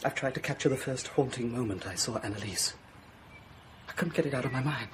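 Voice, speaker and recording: An actor playing an obsessive young painter from a rich Parisian family, hesitant and fervent, in a radio drama. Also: male voice, medium pitch, a little fast, background noise, lightly acted. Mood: eager, earnest.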